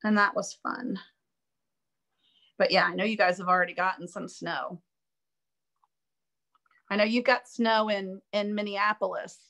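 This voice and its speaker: A woman teaching a live online drawing class, chatty and upbeat, thinking aloud as she works, her voice a little raspy from allergies. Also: mid-range voice, fairly even intonation, a little slow, long pauses, sharp tone. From Florida. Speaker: art instructor.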